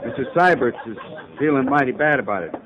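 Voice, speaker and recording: An actor playing a plain-spoken, loyal frontier sergeant with rural American speech, folksy and deferential, in a 1950s radio western. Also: male voice, mid-range voice, lively intonation, quick pace, a crowd in the background, natural voice. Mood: reluctant, reporting. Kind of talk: 1950s radio drama acting. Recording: muffled, noisy 1950s radio recording.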